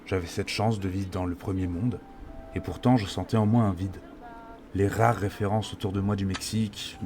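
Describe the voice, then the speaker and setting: A young man from Mexico reading a calm, introspective voice-over narration for his documentary. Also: low-pitched. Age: young adult. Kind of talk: scripted reflective narration. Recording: voice-over with loud music behind.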